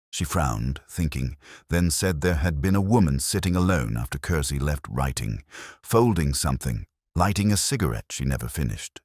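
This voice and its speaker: A man with an extremely low voice narrates detective fiction in a measured, brooding way. His pitch rises and falls expressively through each literary sentence. The sound is close and dry, with almost no room around it. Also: husky, no echo. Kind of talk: scripted first-person detective narration.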